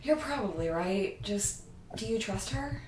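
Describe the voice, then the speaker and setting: An actress playing a guarded, blunt young student vigilante in an audio drama, speaking in a dry, halting, understated way. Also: female voice, mid-range voice, expressive, darkish tone, natural voice. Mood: subdued. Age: young adult. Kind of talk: naturalistic audio drama dialogue. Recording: roomy, distant mic, faint noise.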